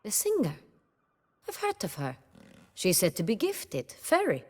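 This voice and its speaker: A woman's voice: mid-range voice, wildly swooping pitch, unhurried, a little mellow, breathy edge, heavily acted. A woman playing an imperious noblewoman ruler in a video game, formal and commanding in manner. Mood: measured, haughty.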